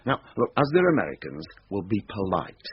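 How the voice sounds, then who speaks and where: An actor playing a shady, blunt boss who likes running things, brusque and plain-spoken, in a radio drama. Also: male voice, low voice, very animated, fairly quick, husky, muffled recording, full character voice. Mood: firm, wary.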